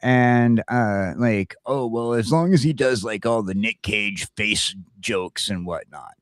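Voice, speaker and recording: Blunt, deep-voiced man on a rant. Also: slow pace, dry recording, clean studio sound. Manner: blunt, ranting, swearing, repeats himself. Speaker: talk show host. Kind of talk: casual opinionated chat about geek culture.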